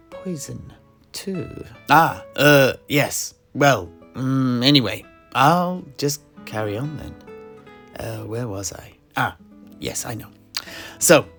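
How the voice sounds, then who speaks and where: A man playing a scholarly, genial tavern keeper in a fantasy audio play, telling history in a wordy, theatrical way with deliberate pauses. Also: medium pitch. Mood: flustered, apologetic, then grave, storytelling. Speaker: narrator and host of an in-universe audio play. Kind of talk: scripted in-character fantasy storytelling. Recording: plucked guitar music behind the voice. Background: plucked guitar music.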